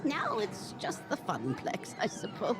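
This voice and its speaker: A woman's voice: very high voice, huge pitch swings, a little slow, a crowd in the background, exaggerated voice. A woman playing a cheery, bawdy older widow who runs an arcade in a visual novel game, doting and theatrical. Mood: cheery welcome. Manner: doting, theatrical, addresses listener as dear. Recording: game music behind.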